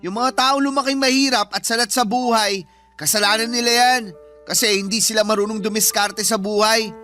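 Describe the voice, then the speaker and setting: A man's voice: high-pitched, steady tone, fairly quick, sharp tone, soft background music. An actor playing a spoiled, disrespectful college-age son who talks back to his mother with sarcasm in a radio drama. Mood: callous. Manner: sarcastic, insolent back-talk.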